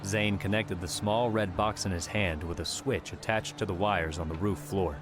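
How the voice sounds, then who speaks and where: Low-voiced male audiobook narrator. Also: background noise. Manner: measured, steady reading. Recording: narration over background music. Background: music.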